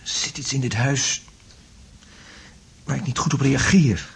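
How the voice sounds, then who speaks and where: A man's voice: mid-range voice, measured pace, creaky, breathy edge, exaggerated voice. An actor in a Dutch radio play playing a depressed, sleepless, recently separated man who speaks hesitantly. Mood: groggy, troubled. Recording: noisy old radio drama recording.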